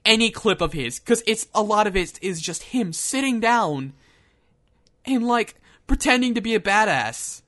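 A man chatting on a casual podcast, his voice swinging widely in pitch. His tone is bright, and he laughs easily as he tells anecdotes. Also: a little slow. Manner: laughing, animated storytelling. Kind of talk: casual joking chat.

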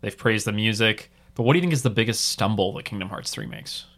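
Bright-toned man hosting a podcast. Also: fairly low pitch, expressive, fast, creaky. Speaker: podcast host. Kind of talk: casual podcast chat.

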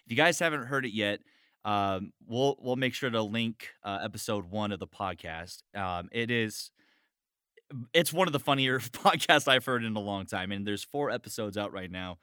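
Bright, forceful man hosting casual chat. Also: lowish voice, animated, normal speed, clear, resonant tone, no room sound, clean studio sound. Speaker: host.

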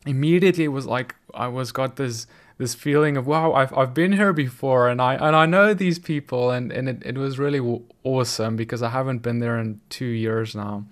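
A man's voice: mid-range voice, fairly quick, few pauses. A middle-aged South African man whose first language is Afrikaans, giving an earnest, conversational sermon on video with frequent restarts. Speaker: preacher.

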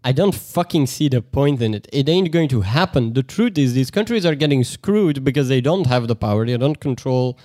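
A man's voice: mid-range voice, lively intonation, moderate pace, few pauses, a little mellow, no room sound, clean studio sound. A man in a casual discussion who hesitates with many "uh"s and speaks bluntly.

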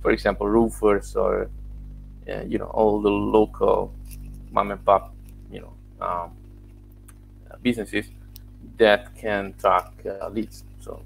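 Gravelly-voiced man explaining. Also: lowish voice, level tone, slow pace, long pauses, soft background music, poor audio. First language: Italian. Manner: explanatory, walks through examples step by step. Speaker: guest, software founder. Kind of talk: casual interview answers.

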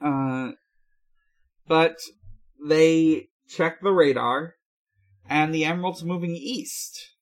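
A man hosting a podcast, giving a casual recap in a halting, hesitant way. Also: a little high. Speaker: podcast host. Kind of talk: casual episode recap chat.

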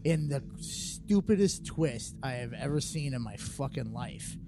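Gravelly-voiced young man joking around. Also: mid-range voice, expressive, unhurried, over music. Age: young adult. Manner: joking, rambling, swearing. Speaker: podcast co-host. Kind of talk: casual banter.

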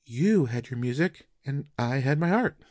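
A man voicing a gentle, devoted wife in a story, with soft, measured and formal speech. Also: mid-range voice, animated, no room sound, studio quality, pitched above the performer's own voice, lightly acted. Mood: calm. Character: gentle, devoted wife of a Japanese musician, proud and concerned. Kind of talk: audiobook character dialogue.

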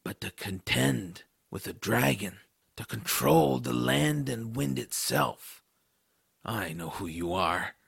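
Troll for an audiodrama, super gravelly n harsh, bitter, sarcastic, defiant. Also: male voice, on the low side, expressive, slow, a bit bright, no echo, clean studio sound, exaggerated voice. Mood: accusing. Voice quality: gravelly, rough. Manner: sardonic, indignant storytelling. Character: bitter, defiant troll, a disfigured dark-elf runaway slave. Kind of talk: audiodrama character acting.

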